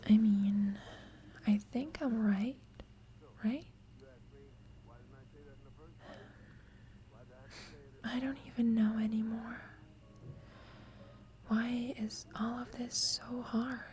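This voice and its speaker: A soft, breathy whisper from a shy young female student played by a woman, lovestruck and insecure. She speaks slowly and hesitantly, with a mellow, tender tone, as in an intimate ASMR roleplay confession to a roommate. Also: on the high side, fairly even intonation. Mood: anxious, yearning. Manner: hesitant, slow, confiding.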